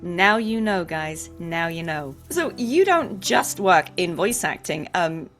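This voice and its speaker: A woman with a lowish voice hosts an interview show. She speaks in a casual, conversational way, with faint music underneath. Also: animated, slow, fairly bright tone.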